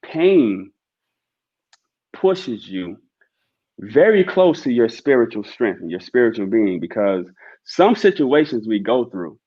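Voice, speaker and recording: A man's voice: medium pitch, very animated, slow pace, long pauses, breathy edge, dull audio. A man guest on a podcast, talking earnestly and reflectively about relationships and faith, with a preacher-like rhythm and frequent "right?" asides.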